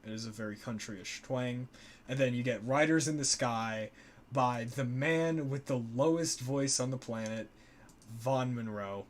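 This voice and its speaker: Young man, casual stream chat, joking and loose, middle pitch, faint background noise. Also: measured pace. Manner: loose and joking, with frequent fillers and swearing. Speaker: co-host. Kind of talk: casual live-stream chat about music history.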